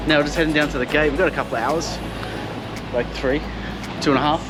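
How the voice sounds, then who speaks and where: A man casually vlogging his trip through an airport and onto a yacht, relaxed and joking. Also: a little high, fast talker, over loud music, low-quality recording.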